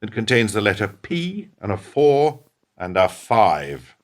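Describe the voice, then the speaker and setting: A man's voice: on the low side, sing-song, unhurried. An actor playing a ruthless, self-justifying middle-aged businessman in a radio play, speechifying with smug emphasis. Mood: callous. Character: ruthless, self-justifying businessman betraying his lifelong partner.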